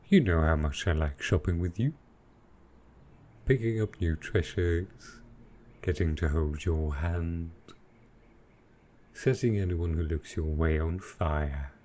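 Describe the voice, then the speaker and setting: A man plays a very low-voiced male dragon in an intimate boyfriend roleplay. He speaks slowly and tenderly, with hesitant pauses. He is affectionate and possessive, and a little lost with modern technology. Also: darkish tone, full character voice. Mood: possessive, smouldering. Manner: slow, tender, hesitant speech.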